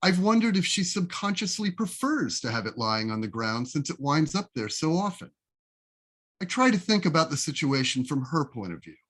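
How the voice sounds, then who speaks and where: A man reading his own essay aloud as a literary reading, with a deliberate, wry, expressive storytelling delivery, recorded over a video call. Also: fairly high pitch, breathy edge. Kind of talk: literary reading, scripted first-person narration.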